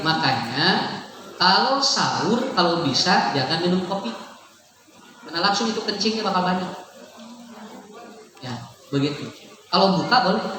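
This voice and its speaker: Male preacher, Sundanese accent, humorous chatty delivery; distant, reverberant hall recording. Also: high-pitched. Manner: chatty, joking, mixing in local words, laughs often. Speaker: Islamic preacher. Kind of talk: lively humorous sermon to a congregation. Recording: echoey hall, far from the mic, noisy.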